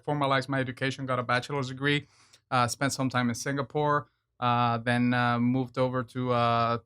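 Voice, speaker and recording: A bright, smooth male voice from a man from Venezuela, talking in an easygoing, filler-heavy way. He keeps a fairly level pitch and breaks into laughs often. He sounds like a chef in his thirties chatting as a guest. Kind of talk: casual interview chat.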